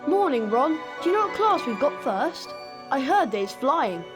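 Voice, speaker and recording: A woman's voice: sits high, very animated, normal speed, music in the background. A woman voicing a polite, earnest young English schoolboy in a video game. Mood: polite, curious.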